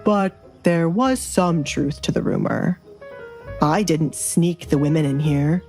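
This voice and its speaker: A woman's voice: deep voice, expressive, takes it slowly, creaky, darkish tone, faint music behind, full character voice. A woman voicing a dangerous nobleman duke in an audio romance, formal, commanding and dryly teasing. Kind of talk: dramatized audio romance dialogue.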